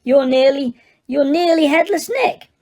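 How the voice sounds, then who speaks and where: A woman's voice: high-pitched, unhurried, a little mellow, pitched above the performer's own voice. A woman voicing an eager young boy who blurts out his words. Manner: sudden, eager.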